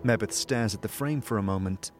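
A man's voice: fairly low pitch, brisk, over loud music. A man narrating a fantasy audio drama in the second person, telling the story scene by scene.

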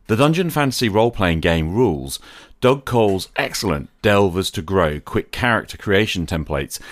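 A man hosting a podcast, delivering a scripted, reflective monologue in a measured, articulate way. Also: low voice. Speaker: podcast host.

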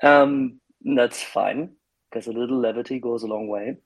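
A man's voice: mid-range voice, normal speed, poor audio. A man hosting a casual panel discussion, speaking earnestly with some hesitant fillers.

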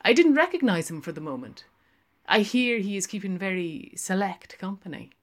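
A woman reader voicing a genial, musing gentleman drinker in a literary audiobook, in a conversational way. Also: mid-range voice, animated, moderate pace, pitched above the performer's own voice, close to an everyday voice. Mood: politely curious.

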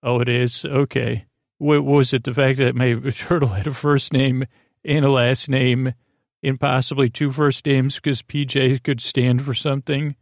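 Creaky, meandering man hosting a bedtime podcast. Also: mid-range voice, level tone, no echo, studio quality. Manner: meandering, halting, whimsical. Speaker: podcast host. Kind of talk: rambling bedtime-podcast monologue.

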